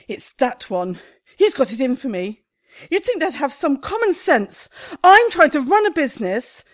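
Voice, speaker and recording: Radio comedy casting: actress for highly strung woman shop owner, agitated and indignant, high, animated delivery. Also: normal speed. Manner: agitated, melodramatic. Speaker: actor in a radio play.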